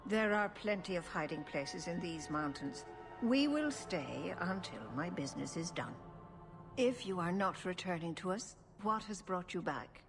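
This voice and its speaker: A woman voicing a stern, grave clan elder in a fantasy video game, speaking in a measured, formal way. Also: lowish voice, animated, music in the background. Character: stern older female elven clan keeper, grave and resolute.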